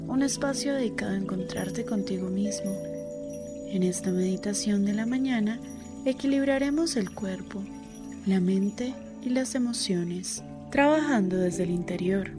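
A woman leads a guided meditation. She speaks slowly at a medium pitch, with music clearly audible behind her.

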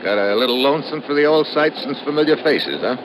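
An actor playing a wisecracking, tough American adventurer in old-time radio style, with breezy, slangy delivery. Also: male voice, mid-range voice, expressive, quick pace, lightly acted. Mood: casual, smooth. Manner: breezy, slangy, hard-boiled. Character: cool, wisecracking tough American adventurer telling his story. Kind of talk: old-time radio acting and first-person narration. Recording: noisy vintage radio recording.

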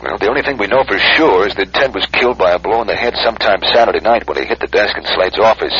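A man's voice: lowish voice, fast talker, a bit bright, breathy edge. An actor playing a dry, businesslike male police sergeant in a radio drama. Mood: matter-of-fact. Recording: dull, faintly noisy radio recording.

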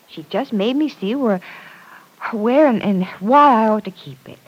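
An actress playing an earnest, stubborn young woman in an old-time radio serial, expressive and gently insistent. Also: fairly high pitch, unhurried, husky, dull audio. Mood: earnest, defensive. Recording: vintage radio drama recording.

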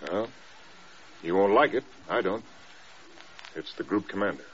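An actor playing a frank, level-headed American air force staff officer in a radio drama, plain-spoken. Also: male voice, low-pitched, animated, a little slow, a bit bright, breathy edge, heavily acted. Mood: reluctant. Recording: dull, noisy old radio recording.

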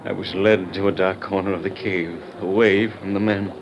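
An actor playing an earnest army major undercover as a gunfighter, telling his story gravely in an old-time radio drama. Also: low voice, fairly even intonation, moderate pace, dull audio, over loud music. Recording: noisy vintage radio recording.

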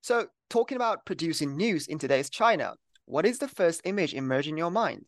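A man's voice: a little high, sing-song, fairly quick, no echo, clean studio sound. A young man hosting an academic podcast interview, speaking earnestly with a few restarts. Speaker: podcast host, PhD student. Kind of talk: interview introduction.

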